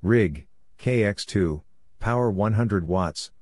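A man reading out radio practice messages slowly in short, clipped phrases, as an instructor. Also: on the low side, darkish tone, slight background noise. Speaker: Morse code practice instructor.

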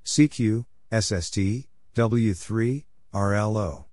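A man reading out radio call signs and short exchanges letter by letter in clipped, measured phrases, as a scripted announcer. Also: lowish voice, a little mellow. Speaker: Morse code practice announcer.

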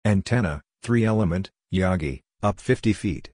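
A man reading scripted practice phrases as a narrator, in a deliberate, clipped, phrase-by-phrase delivery. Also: deep voice, animated, a little mellow, a little hiss or hum. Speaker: Morse code practice narrator.

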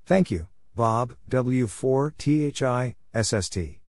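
A man reading scripted short exchanges as a practice narrator, deliberately spelling out letters and numbers one at a time. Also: mid-range voice, animated, a little mellow. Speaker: narrator of Morse code practice.

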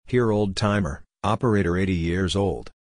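Low-voiced man reading short practice phrases. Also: moderate pace. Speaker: narrator of Morse code practice drills. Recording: clear background noise with clicks.

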